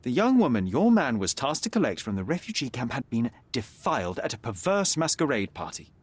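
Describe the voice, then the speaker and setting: Young detective, confident, precise, animated intonation. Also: male voice, medium pitch, brisk, slightly rough. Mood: calm. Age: young adult. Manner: calm, precise, deductive. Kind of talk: video game cutscene dialogue.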